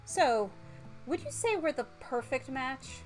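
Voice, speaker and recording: A woman's voice: sits high, very animated, takes it slowly, soft background music, full character voice, rough recording. A woman voicing a suave, earnest and confident romantic male chef in a dating game. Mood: smooth, romantic.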